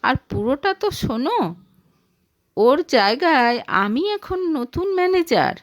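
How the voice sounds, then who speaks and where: A woman's voice: sits high, very animated, slow, pitched above the performer's own voice, full character voice. A woman voicing an earnest working wife in an audio story, eager yet hurt, with a pleading, emotive manner. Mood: hopeful, pleading.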